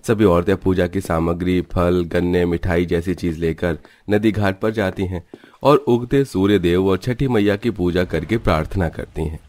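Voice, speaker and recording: A man's voice: low-pitched, normal speed, darkish tone. A man narrating a scripted cartoon story in a dramatic storybook manner.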